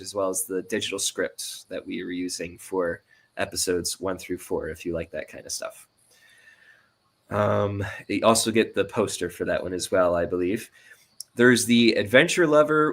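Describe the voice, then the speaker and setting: Low-voiced man chatting informally. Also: a bit bright. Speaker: guest, audio drama producer. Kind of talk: casual explanation of crowdfunding rewards.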